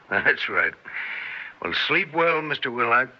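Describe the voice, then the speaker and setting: Skeptical, plain-spoken officer, unhurried old radio drama delivery, muffled lo-fi recording. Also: male voice, mid-range voice, very animated, slight background noise. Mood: resigned. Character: skeptical, plain-spoken male police officer, drily resigned.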